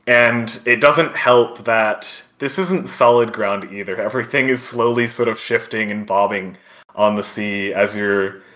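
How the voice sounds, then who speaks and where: A man improvising descriptive, halting narration as game master of a tabletop roleplaying game. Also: lowish voice, pushed and bright.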